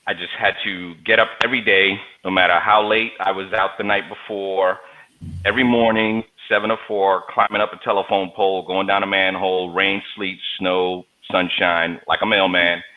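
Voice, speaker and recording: A man in his forties with a very low voice, speaking as an interview guest. He tells his stories with easy, joking humor. The audio sounds dull and lo-fi, like a video call. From New York, USA. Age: 40s. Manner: joking, storytelling, conversational. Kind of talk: casual interview talk.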